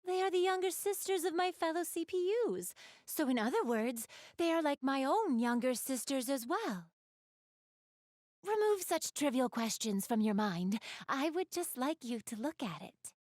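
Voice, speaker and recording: A woman's voice: high-pitched, lively intonation, moderate pace, darkish tone, no room sound, studio quality. A woman playing an elegant, doting big-sister goddess in a video game, speaking in polite, formal, refined phrases straight to the listener. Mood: proud, doting. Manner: polite, formal, refined diction, gushing over what she adores. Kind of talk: video game character acting, addressing the listener.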